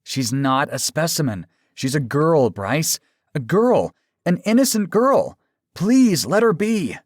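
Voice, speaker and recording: Audiobook role: gentle, anxious young man, played by a male narrator, raised pitch, whimpering and desperately pleading. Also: very animated, slow pace, no room sound, studio quality, exaggerated voice.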